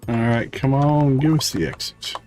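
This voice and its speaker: A man's voice: mid-range voice, normal speed, a little mellow, soft background music. A man hosting a livestream with casual, playful gameplay commentary, half talking to himself. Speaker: livestream host.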